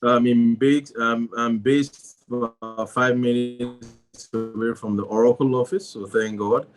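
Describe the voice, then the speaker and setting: A man appearing as a podcast guest who answers in a measured way with hesitant fillers. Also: medium pitch, steady tone, soft background music.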